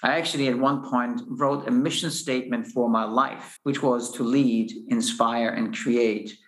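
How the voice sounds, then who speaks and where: Middle-aged man from Austria, founder guest, measured, echoey office. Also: medium pitch, fairly even intonation. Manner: measured, many restarts and fillers. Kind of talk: podcast interview answers. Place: company office.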